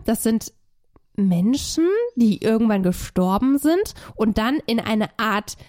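A woman co-hosting a casual podcast, talking through a film's plot in a loose, rambling way full of fillers and restarts. She has a cold. Her voice sits a little high and sounds mellow. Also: animated, takes it slowly. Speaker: podcast co-host. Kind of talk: casual film review chat.

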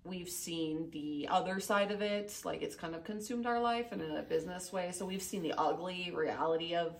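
A young adult woman co-hosting a casual podcast, chatty and animated with lots of fillers, sounding a little nasal and sniffly from a recent illness. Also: fairly low pitch, normal speed, vocal fry. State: slightly nasal and sniffly, getting over an illness. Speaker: podcast co-host. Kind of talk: casual chat.

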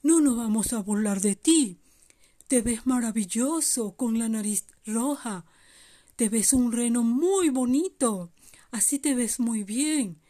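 A woman acts out a kindly old male Santa in a children's tale. The voice is high for the part. The manner is jolly, hearty and reassuring. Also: animated, slow, mellow. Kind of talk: children's storytelling character voice.

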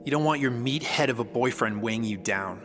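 A man's voice: medium pitch, fairly quick, music in the background, close to an everyday voice. A young American man playing an insecure, devoted college boyfriend in naturalistic audio drama style. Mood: hurt, frustrated. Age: young adult.

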